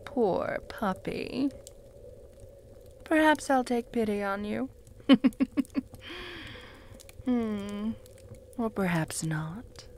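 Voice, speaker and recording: A woman voicing a cruel, jealous female vampire who taunts the listener in a slow, purring voice that often drops to a whisper. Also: medium pitch, slight background noise. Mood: jealous, possessive. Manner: taunting, with soft mocking laughs. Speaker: voice actor in audio roleplay. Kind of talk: audio roleplay addressed to the listener.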